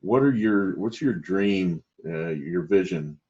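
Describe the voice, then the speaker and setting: Very deep-voiced halting interviewer. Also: male voice, expressive, dark tone. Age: middle-aged or older. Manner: halting, polite, with many "um"s. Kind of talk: community interview. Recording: dull lo-fi sound.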